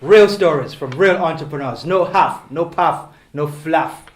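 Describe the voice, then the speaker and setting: A man hosting an interview podcast in a meeting room, upbeat and quick to chime in with affirmations. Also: fairly high pitch, reverberant. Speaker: podcast host. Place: meeting room at a round table.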